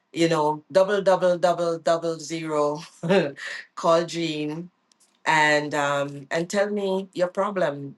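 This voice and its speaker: A middle-aged woman vlogging in an earnest, reflective way, often repeating her phrases for emphasis. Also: sits low. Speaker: vlogger and life coach. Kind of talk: reflective personal vlog.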